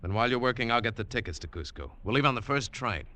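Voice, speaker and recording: A man's voice: mid-range voice, expressive, quick pace, close to an everyday voice. A man playing a tough, wary American adventurer who narrates his treasure hunt in clipped, hardboiled 1940s radio style. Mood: brisk, commanding. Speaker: lead actor and narrator. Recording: old 1940s radio broadcast, music behind.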